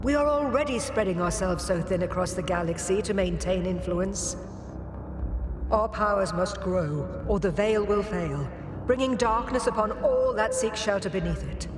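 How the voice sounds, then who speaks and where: A performer voicing an aging, stern alien former ruler in a game, speaking in a measured, solemn, commanding manner. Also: medium pitch, lightly acted. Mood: grave, urgent. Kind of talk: scripted dramatic game cutscene dialogue. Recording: long hall reverb over loud music.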